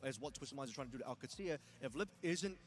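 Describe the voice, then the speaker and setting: Fast-talking male esports caster. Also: medium pitch, animated. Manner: rapid analytical commentary. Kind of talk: esports commentary. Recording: broadcast audio with loud crowd.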